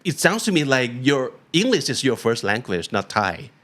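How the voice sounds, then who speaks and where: Lively-voiced male interview host. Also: mid-range voice, moderate pace. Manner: encouraging, upbeat questioner. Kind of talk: casual interview questions.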